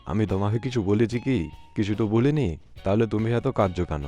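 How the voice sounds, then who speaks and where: A man's voice: on the low side, a little fast, warm tone, soft background music, close to an everyday voice. A man voicing a firm, stoic younger brother and husband in a village cartoon. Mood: firm, impatient. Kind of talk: scripted cartoon dialogue.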